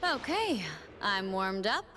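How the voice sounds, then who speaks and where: An actress voicing a proud, sharp-tongued young warrior woman in a video game, with cutting, poised delivery. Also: medium pitch, big pitch swings, takes it slowly, exaggerated voice. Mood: smug. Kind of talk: video game character voice lines. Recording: game voice clips with music behind.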